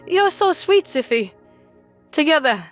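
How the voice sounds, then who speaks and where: A woman's voice: high voice, big pitch swings, slow pace, soft background music, heavily acted. A woman voicing a small, cheeky and affectionate female alien rodent in a sci-fi audio drama, with a teasing manner. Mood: teasing, fond.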